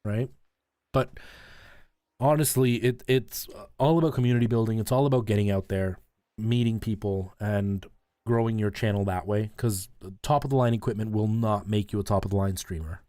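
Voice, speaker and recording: A man's voice: lowish voice, fairly even intonation, normal speed, mellow, no echo, studio quality. A man streaming, chatting casually with his viewers and explaining things in a conversational way, often checking in with them. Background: music.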